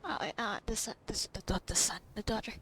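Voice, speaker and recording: A woman's voice: medium pitch, fairly quick, fairly bright tone, slightly rough, in a whisper. A woman giving casual, informal reaction commentary as she plays a video game, often in muttered asides. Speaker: gamer recording her playthrough. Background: game music.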